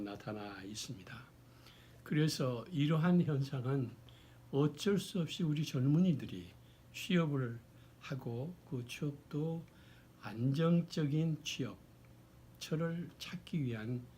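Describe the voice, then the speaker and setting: A man, hoarse from a cold, giving an explanatory talk in a formal, deliberate way with halting phrasing. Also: medium pitch, dark tone, slight background noise. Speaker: lecturer. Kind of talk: explanatory talk on social issues.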